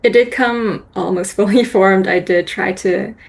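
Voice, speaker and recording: A woman poet, a guest on the show, speaking candidly and reflectively, with soft laughs between her thoughts. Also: medium pitch, unhurried, gravelly. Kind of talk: casual reflective conversation.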